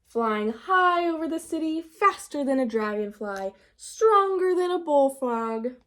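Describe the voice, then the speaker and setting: A woman voicing an excitable, boastful young boy frog in a children's storybook, exclaiming dramatically. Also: high-pitched, measured pace, pitched above the performer's own voice. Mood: boastful, excited. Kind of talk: children's storybook read-aloud.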